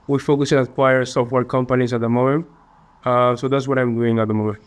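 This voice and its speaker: A young man from Peru with a Peruvian Spanish accent, answering interview questions earnestly and a little haltingly. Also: medium pitch, level tone, clean tone. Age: young adult. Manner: earnest, polite, slightly halting phrasing. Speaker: finance student guest.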